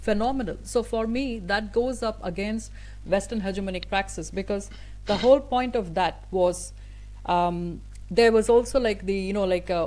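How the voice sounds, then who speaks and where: A woman of middle pitch whose first language is Tamil speaks as an interview guest. She talks earnestly and thoughtfully, often pausing on fillers and restarting her sentences. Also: a little mellow, rough recording. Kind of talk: conversational interview answer.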